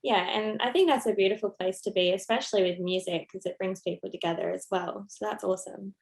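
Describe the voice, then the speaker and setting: A woman interviewer asking relaxed, friendly questions with hesitant fillers. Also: mid-range voice, fast talker, creaky.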